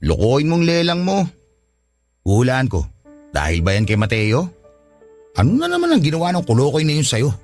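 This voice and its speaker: A man's voice: mid-range voice, wildly swooping pitch, normal speed, pauses often, a little mellow, dry recording, soft background music. A man playing a concerned, teasing male friend in a Filipino radio drama, probing and informal.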